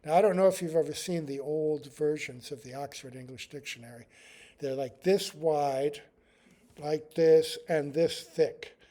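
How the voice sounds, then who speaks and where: A man leads a devotional class in an easygoing, wandering way. His voice sits a little high for a man and sounds mellow and relaxed. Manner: chants prayers slowly, then talks in a relaxed, rambling way. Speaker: speaker giving a class. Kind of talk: devotional class with chanted prayers.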